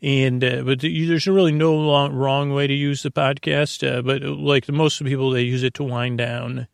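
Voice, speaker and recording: A man with a creaky voice hosting a bedtime podcast in a meandering, halting ramble that keeps interrupting itself and drifting off topic. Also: mid-range voice, steady tone, no room sound, clean studio sound. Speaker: podcast host.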